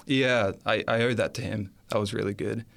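A man sharing his faith testimony as an interview guest, earnest and reflective, pausing often as he thinks aloud. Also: lowish voice, fairly even intonation, vocal fry.

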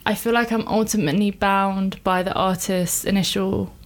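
A woman's voice: medium pitch, steady tone, normal speed. A woman, a film director talking reflectively and informally in a casual interview, explaining her work at length. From born in Iran, grew up in England. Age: 30s.

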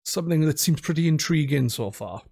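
A man with a voice a little higher than most hosts a casual podcast on video games. He talks in an informal, chatty way and is quick to put himself down. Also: lively intonation, warm tone, breathy edge. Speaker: podcast host.

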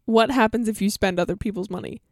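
A woman's voice: mid-range voice, quick pace, no room sound, clean studio sound. A young woman co-hosting a casual chat, teasing her friend and laughing. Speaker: co-host.

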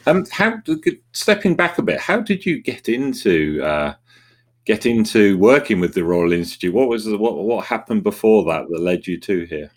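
Male podcast host, very low voice, casual and encouraging. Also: expressive, normal speed. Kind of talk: podcast interview.

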